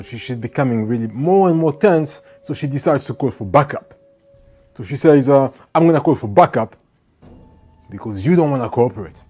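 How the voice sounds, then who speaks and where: A man born in France recounting a run-in with police in a conversational, animated way, full of asides to the listener. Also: medium pitch, breathy edge. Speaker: vlogger. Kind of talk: storytelling to camera.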